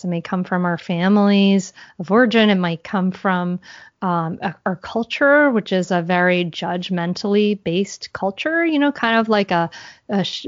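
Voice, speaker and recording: A woman's voice: mid-range voice, no echo. Woman, podcast guest, hesitant restarts, dry close sound, a bit dull. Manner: halting, many restarts and fillers. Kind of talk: podcast interview, conversational.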